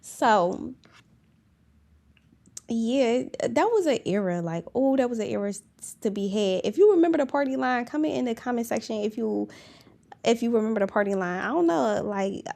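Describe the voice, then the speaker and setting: A woman's voice: on the high side, expressive, normal speed, gravelly, dark tone. A young woman with a girlish, baby-like voice, hosting a podcast in a casual, rambling chat full of fillers, restarts and giggles. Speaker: podcast host.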